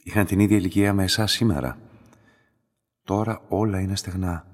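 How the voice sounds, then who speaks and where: An actor playing a calm, enigmatic middle-aged laboratory master in a radio drama, measured and quietly insistent, with a husky voice. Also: male voice. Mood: wistful, brooding. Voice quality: deep, husky. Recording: long hall-like reverberation.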